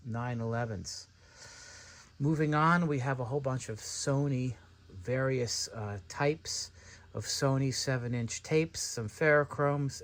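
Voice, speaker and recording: A man with an average-pitched voice walks through goods for sale. He speaks without a script, in the manner of an unboxing video. His delivery is halting as he itemizes each piece. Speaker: seller presenting tape stock. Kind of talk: unscripted unboxing walkthrough.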